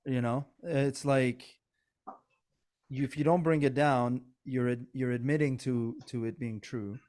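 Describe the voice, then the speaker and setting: A man's voice: mid-range voice, fairly even intonation, a little slow, darkish tone. Earnest rambling man with a Persian accent. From Iran. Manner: earnest, rambling, many restarts. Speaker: podcast co-host. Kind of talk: casual political chat.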